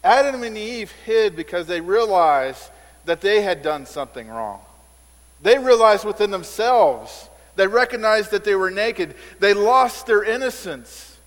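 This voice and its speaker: A man's voice: animated, measured pace. A male pastor preaching a conversational sermon in a church, repeating words as he builds emphasis. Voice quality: says he has a squeaky voice. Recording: reverberant hall sound.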